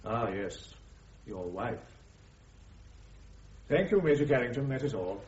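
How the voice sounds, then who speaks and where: A man's voice: medium pitch, animated, a little mellow, natural voice. An actor playing a methodical military prosecutor in a radio courtroom drama, formal and pointed in his questioning. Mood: dry, probing. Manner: formal, measured, probing. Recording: dull, roomy radio drama recording.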